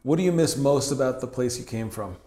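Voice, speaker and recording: A man's voice: medium pitch, animated, a little fast, mellow. A man interviewing a guest, asking short casual questions and giving brief reactions. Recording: echoey room.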